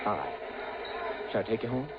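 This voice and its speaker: An actor playing a smitten, boyish assassin from out of town in a 1950s radio drama, hesitant, stammering and earnest. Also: male voice, on the low side, sing-song, fairly quick. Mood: chatty, casual. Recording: muffled, noisy old radio recording.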